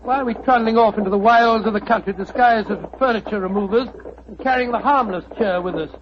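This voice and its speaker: A loyal doctor companion, played by a man, grumbling and plain-spoken in a very high voice. The old radio recording is dull, with faint hiss. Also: fast, lightly acted. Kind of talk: 1940s radio acting.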